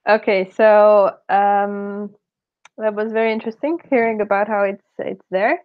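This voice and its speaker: A woman talks through her points, pausing on fillers and restarting sentences. Her voice arrives over a dry, tinny video-call line with a narrow, phone-like band. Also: medium pitch, steady tone, clear, resonant tone, no echo. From Estonia. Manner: hesitant, many fillers and restarts. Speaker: panelist in an online meetup. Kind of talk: casual discussion.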